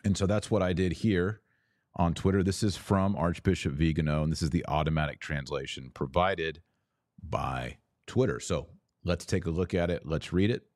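Low-voiced man hosting a commentary podcast. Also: moderate pace, darkish tone, no echo, clean studio sound. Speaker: podcast host. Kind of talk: religious news commentary, reading statements aloud and commenting.

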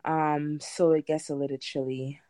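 A woman in her thirties with a Trinidadian accent chats casually as a guest. Her voice sits a little low, and the sound is dry with very little room. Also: flat delivery, moderate pace, dark tone, dry recording. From Trinidad and Tobago. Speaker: podcast guest, home gardener. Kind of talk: casual interview chat.

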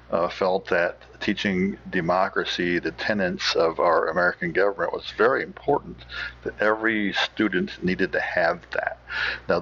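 A man hosting a show with casual, unscripted commentary, halting as he thinks aloud. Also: low voice, a bit bright, husky, lo-fi sound. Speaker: podcast host.

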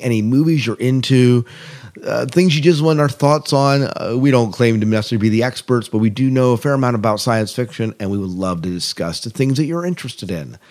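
A man's voice: medium pitch, fairly quick. A man co-hosting a podcast in a casual, chatty style about science fiction. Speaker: podcast co-host.

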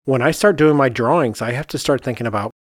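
A man's voice: medium pitch, quick pace, dry recording, clean studio sound. A man co-hosting a podcast as an architect, talking casually and thinking aloud, often voicing his own reasoning as quoted asides. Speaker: podcast host.

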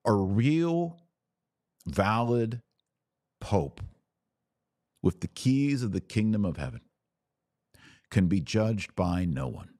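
Deep-voiced American man hosting a podcast. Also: very animated, slow pace, pauses often, warm tone, no echo. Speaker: podcast host. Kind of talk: conversational commentary answering listener questions.

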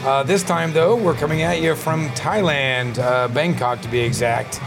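A man's voice: fairly high pitch, moderate pace, music in the background. A man hosting a podcast, chatting loosely and laughing easily while recording in a bar. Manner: relaxed, rambling, laughs easily. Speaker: podcast host. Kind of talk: casual bar-side chat. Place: busy bar. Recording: noisy bar background.

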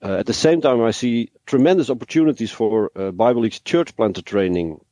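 A man's voice: medium pitch, a little fast, dark tone, dry recording. A man answering as an interview guest, explaining things in a careful, somewhat hesitant conversational way. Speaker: interview guest, ministry worker.

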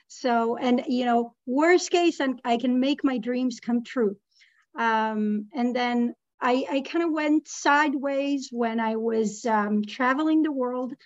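A woman's voice: on the high side, muffled recording. A woman in her thirties, originally from Israel, speaking as a guest in an interview, hesitant with many fillers and restarts. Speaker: guest, real estate lending executive.

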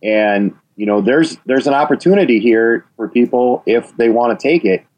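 A man's voice: medium pitch, normal speed, a little mellow, dull audio. A man from Wisconsin with a Wisconsin accent, talking as an interview guest and stressing his points with repeated phrases. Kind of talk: interview about radio policy.

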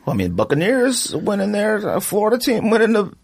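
A man co-hosting a podcast, talking football picks in a slangy, joking, animated way. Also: sits high, fairly quick, creaky, husky. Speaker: podcast co-host. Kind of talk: casual sports chat.

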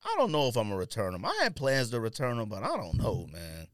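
Slangy man with a lively, swinging pitch. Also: quick pace, dry recording, studio quality. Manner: slangy, opinionated. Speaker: podcast co-host reviewing sneakers. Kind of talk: casual unscripted banter.

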